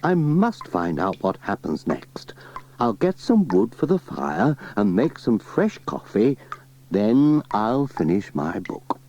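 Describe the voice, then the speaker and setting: A man voicing an absorbed, easily bothered woman in a children's storybook, breathy and musing. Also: lowish voice, big pitch swings, takes it slowly, warm tone, slight background noise, full character voice. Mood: mildly bothered. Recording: dull cassette transfer with music.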